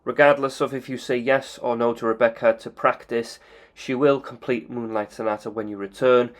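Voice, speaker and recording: A man hosting a video game channel, giving calm game analysis commentary with occasional "uh" fillers. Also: mid-range voice, normal speed. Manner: calm explanatory delivery with "uh" fillers.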